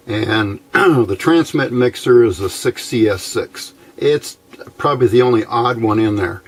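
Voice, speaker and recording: A man giving a casual, unscripted technical explanation as a hobbyist presenter, haltingly, thinking aloud as he goes. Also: lowish voice. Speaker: amateur radio hobbyist presenting a how-to video.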